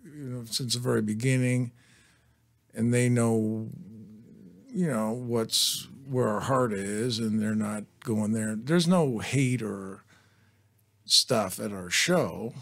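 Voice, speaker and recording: Middle-aged punk band guest, easygoing, blunt, swears offhand. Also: male voice, mid-range voice, slow, gravelly. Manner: laid-back, blunt, swears casually. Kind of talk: casual profane radio chat.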